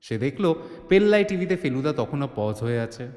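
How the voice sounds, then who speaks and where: A man's voice: mid-range voice, very animated, normal speed, a little mellow. A man narrating a comedy-mystery story in a theatrical, suspenseful way, with touches of mock alarm. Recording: long hall reverb, music bed.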